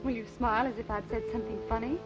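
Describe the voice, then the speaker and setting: A woman's voice: fairly high pitch, fairly even intonation, very fast, close to an everyday voice. A woman playing a poised, wary young sister who hides her fear behind polite, guarded speech, in old dramatic style. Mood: hushed, urgent. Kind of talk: old film-era dramatic acting. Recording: dull old recording, loud music behind.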